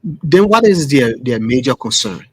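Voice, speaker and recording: Liberian radio host asking questions. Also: male voice, medium pitch, unhurried, slightly rough, no room sound. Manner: brief prompts and steady questions. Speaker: radio morning show host. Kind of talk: radio interview.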